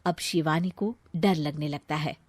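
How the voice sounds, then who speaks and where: A woman's voice: sits low, unhurried, dry recording. A woman narrating a scripted family story as the storyteller.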